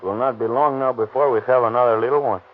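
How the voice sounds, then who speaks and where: A man's voice: mid-range voice, steady tone, fast, lightly acted. An actor playing a cheerful Mexican police officer and family man, speaking broken English with a Mexican Spanish accent, in a 1930s radio drama. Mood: jovial. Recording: tinny old radio recording.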